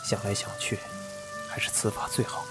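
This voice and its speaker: A man acting an aloof, possessive immortal lord in a fantasy audio drama, cool, measured and sure of himself. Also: over music, close to an everyday voice. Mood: calm, deliberate.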